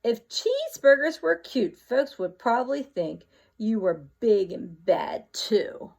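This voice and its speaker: A woman voicing a chatty, self-excusing male wolf from a children's picture book, with exaggerated, drawn-out emphasis. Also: a little high, sing-song, vocal fry, a little mellow, exaggerated voice. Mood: defensive.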